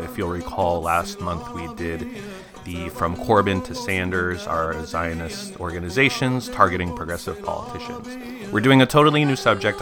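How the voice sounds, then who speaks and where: A man giving a conversational podcast introduction as the host. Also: low voice.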